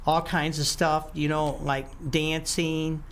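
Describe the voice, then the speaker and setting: An older man, a guest musician, telling his story in a halting, casual way with many restarts and some throat clearing. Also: on the high side, flat delivery, a bit bright. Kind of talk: casual interview talk.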